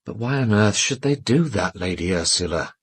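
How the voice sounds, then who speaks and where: Guarded, husky-voiced English gentleman. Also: lowish voice, expressive, moderate pace, no echo, studio quality. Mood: wary, defensive. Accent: educated English. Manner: polite, guarded, wryly self-deprecating. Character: educated, defensive English gentleman, weary secret lover. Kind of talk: British radio drama acting.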